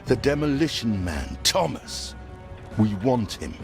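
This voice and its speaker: Proud young male heir, haughty, impatient, unhurried, music underneath, video game drama. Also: on the low side, sing-song. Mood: firm.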